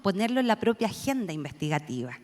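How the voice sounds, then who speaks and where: A woman's voice: medium pitch, very animated, quick pace, a little mellow. A Chilean woman speaking as a panelist and policy analyst, offering thoughtful, searching remarks with frequent filler sounds. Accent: Chilean Spanish. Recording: long reverb from a hall.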